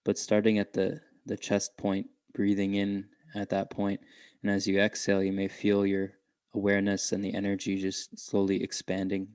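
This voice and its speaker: A man guiding a meditation in a gentle, unhurried way, leaving long pauses between short phrases. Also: sits low, completely flat delivery, dry recording, clean studio sound. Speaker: meditation guide. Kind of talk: guided meditation.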